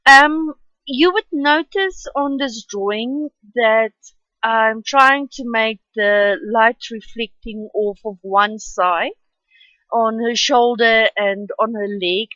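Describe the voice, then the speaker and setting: A woman with an Afrikaans accent talks through her drawing in a slow, unhurried, rambling way. Her voice sits a little high. The home recording sounds dull, lo-fi and dry. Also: a bit bright, no room sound. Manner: chatty and rambling, with many ums. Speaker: artist narrating her drawing. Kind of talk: casual voice-over for a speed drawing.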